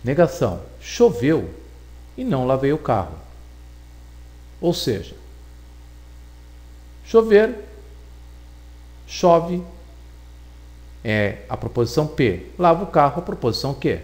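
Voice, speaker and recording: Man teaching logic with lively intonation. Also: fairly high pitch. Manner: deliberate, explanatory, repeats points for emphasis. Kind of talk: recorded logic lesson.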